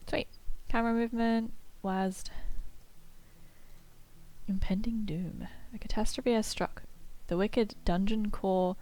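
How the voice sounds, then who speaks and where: A woman's voice: mid-range voice, fairly even intonation, takes it slowly, dark tone. Chatty woman gamer, a bit nasal. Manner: chatty, upbeat, muses aloud with fillers. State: slightly nasal from a cold. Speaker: gameplay streamer. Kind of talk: casual let's-play commentary, reading tutorial text aloud.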